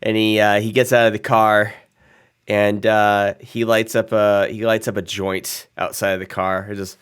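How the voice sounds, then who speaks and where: A man's voice: lowish voice, flat delivery, moderate pace, clean tone. A man chatting casually as a player in a tabletop game, rambling with fillers.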